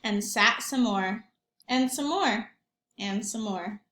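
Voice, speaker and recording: A woman reading a storybook aloud to young children like a teacher, expressively, pausing for simple questions and comments. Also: medium pitch, sharp tone, slight background noise. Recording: roomy echo.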